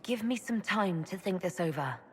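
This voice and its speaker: An actress playing a composed, diplomatic but firm leader in a video game, speaking in a measured, tactful way. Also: female voice, medium pitch, animated, slightly rough. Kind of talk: video game cutscene acting.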